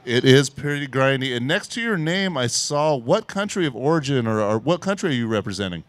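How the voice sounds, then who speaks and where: A man's voice: mid-range voice, animated, fairly quick, no room sound. A man working as a co-host, interviewing athletes casually after their heats. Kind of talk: casual post-heat interview.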